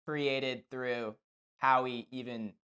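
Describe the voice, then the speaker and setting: A man's voice: mid-range voice, steady tone, slow, bright, forceful tone. A man hosting a casual interview, speaking in an enthusiastic, appreciative and conversational way. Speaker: interviewer, show host.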